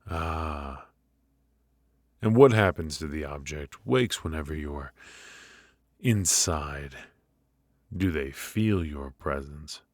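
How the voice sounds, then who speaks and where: A man performs a menacing mafia boss with an extremely low voice, recorded close and dry. He talks very slowly and deliberately, teasing his captive with mock politeness in an intimate ASMR roleplay style. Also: expressive, no room sound, exaggerated voice. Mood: probing, unhurried. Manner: slow, deliberate, mockingly polite with drawn-out pauses.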